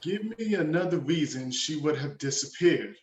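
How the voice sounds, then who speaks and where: A man's voice: a little high, animated, moderate pace, a little mellow, natural voice. A man playing a scornful senior nun in her late forties, disdainful, in a dramatic reading. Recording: echoey room, faint noise.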